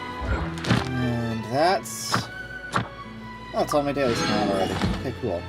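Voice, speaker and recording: Casual woman streamer over loud game music. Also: a bass voice, expressive, slow pace. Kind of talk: casual live stream commentary.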